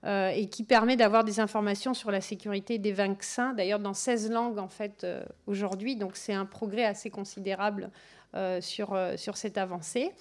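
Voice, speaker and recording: A woman's voice: mid-range voice, fairly even intonation, normal speed. A woman giving a conference presentation, hesitant, with many fillers and restarts.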